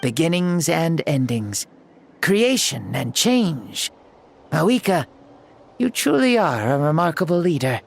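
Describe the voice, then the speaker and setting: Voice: medium pitch, sing-song, a little slow, vocal fry, full character voice. An actor playing an ancient hero revived in a boy's body in a video game, breezy and playful, with a wry storytelling manner. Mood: reverent. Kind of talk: dramatic video game voice acting. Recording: game music behind the voice.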